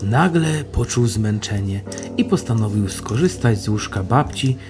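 A man's voice: on the low side, animated, measured pace, over music. A man narrating a scripted fairy tale as the storyteller of an audio drama.

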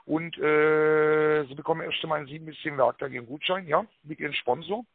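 A man giving a rehearsed telephone sales pitch, full of tag words and hesitations, with a raspy voice. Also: fairly even intonation, fairly quick, no room sound. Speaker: telemarketing caller pitching a prize draw. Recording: narrow tinny phone line.

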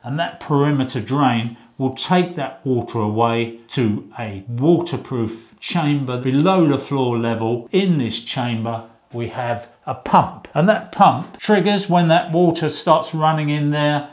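A man's voice: medium pitch, unhurried, few pauses. A middle-aged man giving an informal explanation, conversational in tone and repeating words for emphasis. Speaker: presenter of a home-building advice show. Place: small indoor room, in front of a whiteboard.

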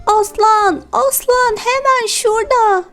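A woman's voice: extremely high pitch, steady tone, slow, a bit bright, faint music behind, voice pushed up, exaggerated voice. A woman voicing a little animal child in a children's cartoon, with a childlike way of talking. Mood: excited.

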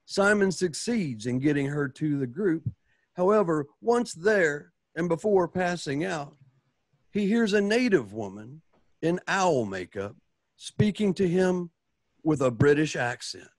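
A man's voice: a little high, sing-song, no echo, exaggerated voice. Theatrical Western radio serial announcer played by a man. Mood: suspenseful storytelling. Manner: theatrical, deliberate, with swelling emphasis. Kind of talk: scripted radio drama narration.

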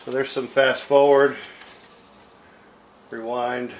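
A man's voice: medium pitch, little pitch movement, slow pace. A middle-aged man giving a casual, unscripted equipment demonstration in a rambling, self-deprecating way. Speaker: tape deck seller demonstrating equipment. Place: indoor room at home. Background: music from record and tape playback.

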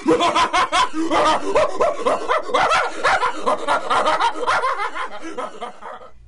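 Shrill, gloating mad supervillain. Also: male voice, very animated, very slow, exaggerated voice. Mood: gloating, manic. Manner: theatrical, gloating, cackling. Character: gleeful mad supervillain, self-styled brother to monkeys. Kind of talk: 1930s-style radio adventure acting. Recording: noisy animal ambience behind the voice.

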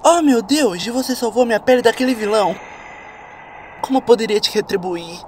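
A woman with a Brazilian accent voicing a naive, excitable young boy in a cartoon dub, gushing and exclaiming. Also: a little high, normal speed, background noise, natural voice. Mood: amazed. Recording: loud music behind voice.

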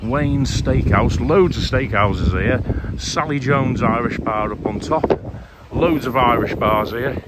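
Man, chatty travel vlogger, mid pitch, handheld phone, loud wind and traffic outside. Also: takes it slowly, hardly any pauses. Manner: chatty, upbeat, addresses viewers directly. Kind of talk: casual walking vlog narration. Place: outdoors on a seaside promenade. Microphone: handheld phone camera.

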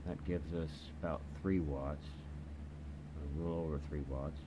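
Male hobby reviewer, extremely low voice, casual and hesitant, home video with clear noise. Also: steady tone, unhurried. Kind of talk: casual product demonstration. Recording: noisy home video recording. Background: clear background noise.

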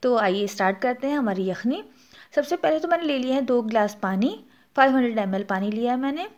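A woman's voice: on the high side, lively intonation, quick pace, a little mellow. A woman with a Pakistani Urdu accent hosting a cooking channel, narrating a recipe step by step and adding practical tips. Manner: explanatory, instructive, gives practical tips.

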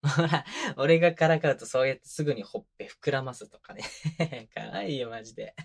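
Man acting a doting, teasing young boyfriend, close-up. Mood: affectionate teasing. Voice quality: medium-low voice. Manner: casual, rough-edged masculine speech, soft laughs. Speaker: voice actor. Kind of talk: intimate boyfriend roleplay audio drama, binaural ASMR. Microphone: close binaural microphone.

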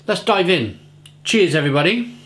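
Chatty english guy in his 40s doing a beer review in his kitchen, kinda high voice, keeps repeating words. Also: animated, normal speed, a bit bright. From England. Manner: chatty, repeats words while hunting for them. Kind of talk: casual beer review to camera. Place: home kitchen, indoors.

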